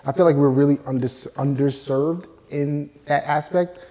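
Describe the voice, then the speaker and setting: A man hosting his podcast, a realtor by trade, who chats in an upbeat way and keeps up a steady stream of encouraging replies. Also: a little high, moderate pace, in a large hall. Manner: upbeat, chatty, eager affirmations.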